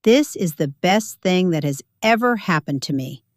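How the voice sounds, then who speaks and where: A woman's voice: lowish voice, expressive, normal speed, dry recording, clean studio sound, natural voice. Woman as a wry, composed retired school principal. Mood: dryly amused.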